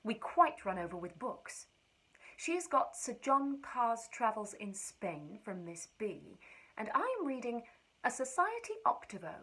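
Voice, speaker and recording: Animated woman reading, slightly husky. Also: mid-range voice, poor audio. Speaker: reader. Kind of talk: expressive scripted reading of a literary period letter.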